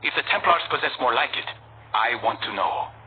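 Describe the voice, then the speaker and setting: A man's voice: medium pitch, animated, brisk, slight background noise, close to an everyday voice. Man acting a terse assassin, tinny game audio. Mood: determined. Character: guarded, resolute male assassin. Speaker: video game protagonist. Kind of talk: video game cutscene acting.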